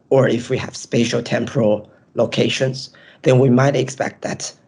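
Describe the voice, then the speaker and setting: A man with a hoarse, nearly lost voice, presenting his research in a webinar and explaining step by step over a video call. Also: medium pitch, a little mellow. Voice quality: raspy, strained. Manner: explains step by step with frequent ums.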